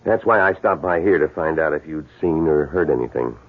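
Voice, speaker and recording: A muffled vintage radio recording of a police sergeant with an extremely deep, husky voice. He is grave, blunt and matter-of-fact, in 1970s radio play style. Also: lively intonation, fairly quick. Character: grave, plain-spoken police sergeant bringing grim news. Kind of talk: 1970s radio drama acting.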